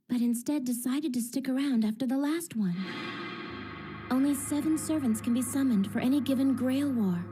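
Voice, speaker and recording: A clever, assertive girl, voiced by a woman, speaks in a high register over clear background music. She lays out her reasoning with confidence, in dramatic anime dub style. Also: close to an everyday voice. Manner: brisk, reasoning, self-assured. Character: sharp, analytical, assertive teenage girl strategist. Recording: music behind the voice.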